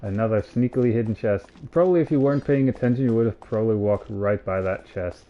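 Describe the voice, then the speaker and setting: A man's voice: on the low side, fast talker, lo-fi sound, a little hiss or hum. A man giving casual, off-the-cuff live commentary as he streams a game.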